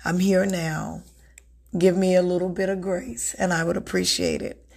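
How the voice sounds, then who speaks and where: A woman hosting an unscripted self-help podcast, with a raspy voice that sounds a little strained from a recent illness, talking earnestly and repeating words for emphasis. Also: lowish voice, level tone, moderate pace. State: recovering from illness, slightly strained voice. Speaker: podcast host.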